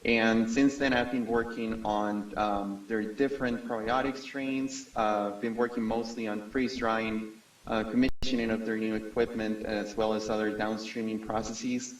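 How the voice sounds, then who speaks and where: A man's voice: lowish voice, little pitch movement, a little slow. A man in his thirties from Costa Rica, an industry scientist introducing himself at a panel discussion. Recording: roomy echo, distant from mic.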